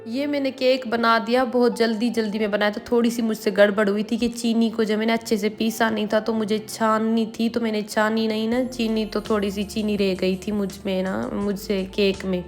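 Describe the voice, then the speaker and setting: A woman in her thirties with a Pakistani Urdu accent, hoarse from a cold, chatting casually and at length while vlogging at home. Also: fairly high pitch, little pitch movement, a little fast, few pauses, faint music behind. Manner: chatty, rambling, frequent fillers. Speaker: vlogger, housewife. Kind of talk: casual home vlog chat. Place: at home, indoors.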